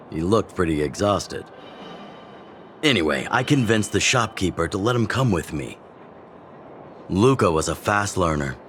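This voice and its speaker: An older fighting mentor from a video game, with a deep voice and a fatherly, easygoing manner. He reminisces without hurry, reflective and fond. Soft music sits faintly behind him. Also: exaggerated voice. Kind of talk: video game voice acting, storytelling.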